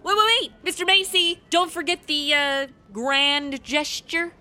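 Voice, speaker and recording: A woman's voice: sits high, a little slow, pushed and bright, full character voice. A woman playing a brash, self-promoting publicist in a comic radio play, breezy and sardonic. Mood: pushy.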